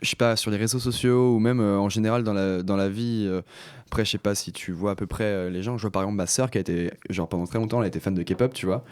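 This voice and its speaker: Fast-talking young man with a very low voice. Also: fairly even intonation, a little mellow, clean tone. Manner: quick, explanatory talk with fillers. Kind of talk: casual chat.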